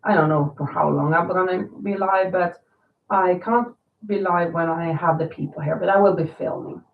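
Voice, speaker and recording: A woman in her fifties chats loosely and rambles, her voice a little nasal and raspy from a lingering cold. She sits some way from the mic. The sound is thin like a phone line and echoes in the room. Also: lowish voice, normal speed, recorded from a distance, slight background noise. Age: 50s. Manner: chatty, rambling, reads viewer comments aloud. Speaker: crochet livestream host. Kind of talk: casual livestream chat. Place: home.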